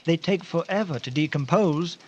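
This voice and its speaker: A fussy scientist from a comic radio play , played by a man. His voice sits fairly high and he speaks in stilted, precise phrases, easily flustered. The sound is dull with faint noise. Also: moderate pace, darkish tone, lightly acted. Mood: fussy, reassuring. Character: prissy, formal research scientist, easily flustered. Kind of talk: comic radio drama acting. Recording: dull, noisy radio-drama recording.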